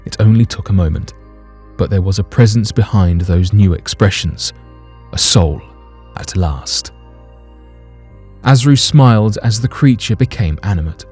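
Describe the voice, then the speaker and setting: Male narrator for an audio drama, dark low voice, literary storytelling, light music bed. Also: a little slow, slightly rough.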